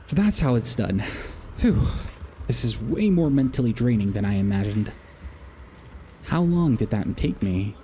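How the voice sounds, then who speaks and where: A man's voice: mid-range voice, big pitch swings, a little slow, warm tone, slight background noise. A man voicing an eager, plucky female disciple while reading game dialogue aloud. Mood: relieved, drained.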